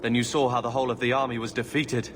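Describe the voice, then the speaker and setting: A man's voice: medium pitch, level tone, fast, background noise, natural voice. Brash young male fighter played by an actor. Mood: tense, blunt. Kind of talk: dramatic fantasy video game acting.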